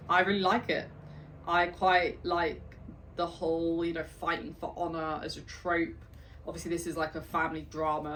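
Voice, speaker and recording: A woman's voice: lowish voice, a bit bright, distant mic, a little hiss or hum, poor audio. A woman book vlogger chatting casually and reading opening passages of novels aloud with enthusiasm.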